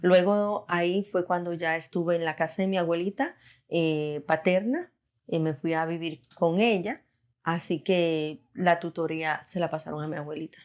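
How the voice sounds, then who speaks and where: A woman's voice: lowish voice, normal speed. A woman in her forties from Costa Rica, recounting her life casually as an interview guest. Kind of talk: casual personal storytelling.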